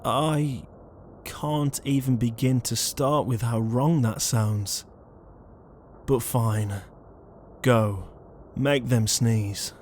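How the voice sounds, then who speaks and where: A man's voice: mid-range voice, fairly even intonation, drawn-out words, long pauses, a little mellow, slight background noise. A man playing an exasperated, conscientious ghost in a comedic audio roleplay, flustered, hesitant and pleading. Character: exasperated, conscientious male ghost, murdered shut-in.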